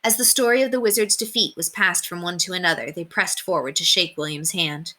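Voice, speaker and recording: A woman reading a children's story aloud as an expressive storyteller. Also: lowish voice, a little fast.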